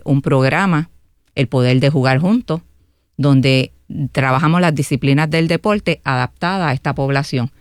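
A woman's voice: a bass voice, smooth, no room sound, studio quality. A woman in her fifties with a Puerto Rican accent, a candidate speaking earnestly in a radio interview, often adding a questioning filler. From Guaynabo, Puerto Rico. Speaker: political candidate and interview guest.

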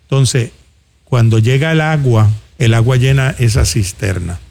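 A male talk show host with a Puerto Rican accent and a gravelly, creaky voice at a middling pitch. He talks in a colloquial, off-the-cuff way, as if handing practical advice straight to the listeners. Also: expressive, unhurried, darkish tone. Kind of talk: casual conversational commentary. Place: studio.

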